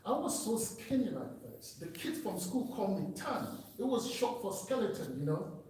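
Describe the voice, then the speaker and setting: A man's voice: high voice, sing-song, normal speed, darkish tone. A man from Cameroon, giving an earnest, emphatic personal testimony speech at a meeting. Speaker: public speaker. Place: meeting room. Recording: echoey hall, distant mic.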